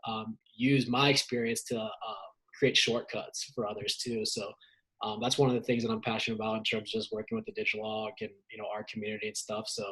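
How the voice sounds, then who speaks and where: Ohio guy cohosting a podcast, kinda husky, low and even, just rambling casually. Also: low-quality recording. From Canton, Ohio. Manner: relaxed, rambling conversational delivery. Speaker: podcast co-host. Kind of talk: casual interview chat.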